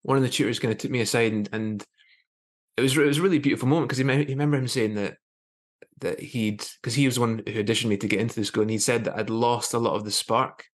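A man in his thirties hosting a conversational interview over a video call, rephrasing haltingly as he thinks. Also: medium pitch, fairly even intonation. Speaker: podcast host. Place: small plain room indoors. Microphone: video call with earphones.

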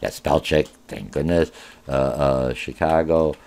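A man's voice: rumbling low, a little slow, vocal fry, a little mellow. A middle-aged man, a college business instructor whose first language is Polish, giving an informal online course orientation with many filler sounds. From born in Germany.

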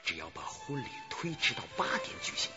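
A man's voice: medium pitch, very animated, fairly quick, natural voice. Man acting a scheming henchman, wildly swooping delivery. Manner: coaxing, emphatic, conspiratorial. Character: scheming, hot-headed henchman loyal to his boss. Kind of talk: radio drama acting. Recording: muffled broadcast with music behind.

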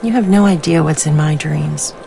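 A young actress playing a brisk, sardonic aspiring film director, talking conversationally in an animated drama. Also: female voice, sits low, vocal fry, background noise. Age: young adult.

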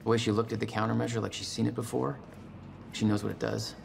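An actor playing a skeptical, practical action hero in a cinematic video game, speaking in a casual, understated way with a gravelly voice. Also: male voice, fairly low pitch, little pitch movement, quick pace. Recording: clear ambient noise behind the voice.

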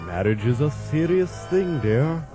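A man's voice: on the high side, very animated, measured pace, music in the background. A man playing an earnest, fussy, paternal mad-scientist uncle in a comic radio play. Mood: grave, paternal. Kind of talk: radio play comedy acting.

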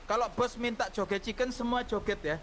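A man's voice: very high-pitched, steady tone, brisk, a little hiss or hum. A man playing a bossy, menacing gang boss in online game roleplay, commanding and taunting. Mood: teasing, commanding.

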